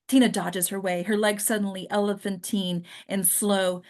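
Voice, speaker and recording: A woman reading stage directions at a script table read as deliberate, descriptive dramatic narration. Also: mid-range voice, little pitch movement, a little mellow.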